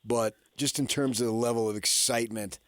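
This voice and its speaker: An American male sports radio host talks through his opinions like an animated storyteller. His voice sits at a middle pitch. The sound is dry and close, with almost no room on it. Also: a little fast, no echo.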